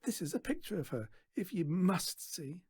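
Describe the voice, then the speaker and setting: A storyteller voicing a bitter, sulky showman's daughter who snaps, scoffs and yells. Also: medium pitch, big pitch swings, normal speed, husky, no echo, studio quality, pitched above the performer's own voice. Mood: yelling, sulky. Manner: snappy, scornful, yelling.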